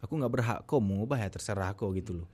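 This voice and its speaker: A man's voice: lowish voice, quick pace, dark tone, breathy edge. A young man from Aceh with an Acehnese accent, talking earnestly as a podcast guest and repeating himself for emphasis. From Aceh, Indonesia. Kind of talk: casual podcast conversation.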